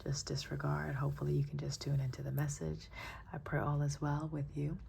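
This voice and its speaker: A woman with a raspy, froggy early-morning voice, giving a spiritual tarot reading with spoken prayers in a calm, soft-spoken way that often checks in with listeners. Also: low voice, a little fast, a little hiss or hum. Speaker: tarot reader.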